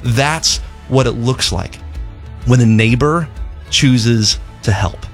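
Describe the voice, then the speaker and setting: A man's voice: medium pitch, slow, soft background music. A middle-aged man from Zimbabwe making an earnest charity appeal on the radio, telling a story and often repeating words as he restarts. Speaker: charity representative.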